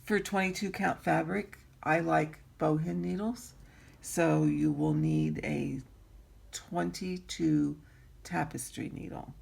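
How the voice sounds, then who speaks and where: Woman, raspy, gravelly fry, slow, home craft tutorial. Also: low voice, animated, a little mellow. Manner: slow, unhurried, frequent ums and throat clearing. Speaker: embroidery teacher.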